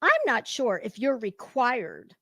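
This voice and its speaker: A woman with a high, forceful voice delivers live gossip commentary. She is theatrical and sarcastic, stretching words for emphasis at a slow pace, with a blunt, scornful edge. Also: expressive, no room sound, studio quality. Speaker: host of a gossip commentary show.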